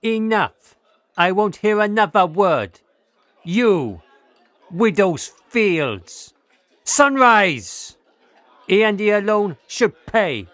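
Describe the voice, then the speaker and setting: Fiery young nobleman, high-pitched and defiant. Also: sing-song, very slow, fairly bright tone, no room sound, exaggerated voice. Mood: defiant, commanding. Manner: declamatory, defiant. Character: fiery, proud young Venetian nobleman demanding a duel. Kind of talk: radio drama acting.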